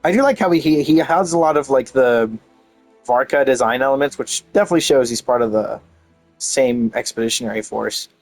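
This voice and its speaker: A man's voice: medium pitch, brisk, faint music behind. A young man giving casual, rambling game commentary with enthusiasm, often repeating himself. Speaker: game streamer.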